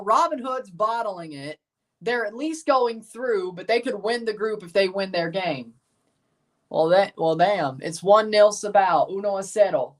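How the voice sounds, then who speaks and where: A man's voice: high-pitched, fairly bright tone, far from the mic. A man with a Southern American accent chatting casually and rambling through a live match watchalong, with some mild swearing. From United States. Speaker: streamer.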